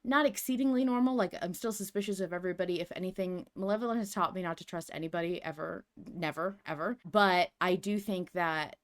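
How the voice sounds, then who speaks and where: Female podcast host, casual and animated, prone to emphatic, rambling asides. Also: lowish voice, a little fast, few pauses. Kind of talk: casual discussion of a fiction podcast.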